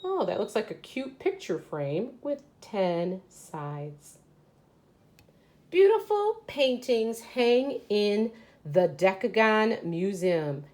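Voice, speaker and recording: A woman's voice: medium pitch, very animated, takes it slowly, darkish tone. A woman teacher reading aloud to children and explaining as she goes, often asking questions and answering them herself. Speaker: elementary school teacher. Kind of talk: read-aloud lesson for children.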